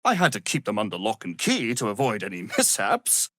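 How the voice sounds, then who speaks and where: A man's voice: lowish voice, huge pitch swings, fairly quick, pushed and bright, no room sound, clean studio sound, full character voice. An actor playing an arrogant, grandiose male wizard in a fantasy video game, with a pompous, condescending, theatrical manner. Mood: smug, condescending.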